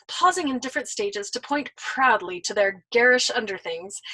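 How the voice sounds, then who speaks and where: A woman reading a novel aloud as a storyteller, expressive, with small slips and self-corrections. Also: on the high side, breathy edge, poor audio.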